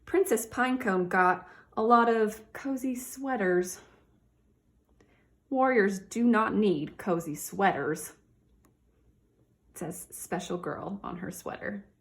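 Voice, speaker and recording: A woman teacher doing a read-aloud for children, talking directly to her young listeners in an animated way. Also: medium pitch, slow pace, pauses often.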